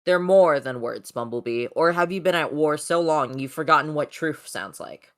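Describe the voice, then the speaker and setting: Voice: mid-range voice, moderate pace, a bit bright, lightly acted. A person voicing an earnest robot rebel spokesman in an amateur comic dub, speaking formally and persuasively. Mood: earnest pleading.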